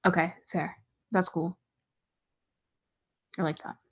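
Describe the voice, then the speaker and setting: Giggly low-voiced woman chatting. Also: slow pace, breathy edge. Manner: giggly, filler-heavy, animated. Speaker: podcast co-host. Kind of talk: casual chat.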